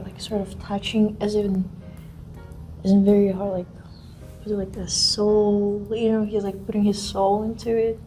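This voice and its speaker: A woman's voice: mid-range voice, dark tone, rough recording. A young woman from Russia chatting casually as a guest in a reaction video, often searching for words. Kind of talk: casual chat.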